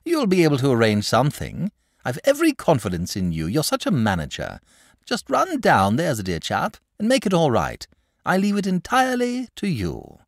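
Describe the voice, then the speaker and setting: A man voicing a lazy, gentle, cultured male dragon in a storybook reading, languid and unhurried. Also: fairly high pitch, huge pitch swings, no room sound, studio quality, voice pushed up, exaggerated voice. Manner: languid, unhurried, mildly plaintive.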